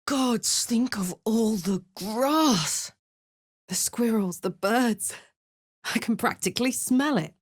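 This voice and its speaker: A dry, close recording of a brash warrior woman from a fantasy video game. She is salty and blunt but big-hearted and earnest. Her delivery is unhurried, with lively, wide swings in pitch. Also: slightly rough, dry recording, exaggerated voice. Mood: wistful wonder.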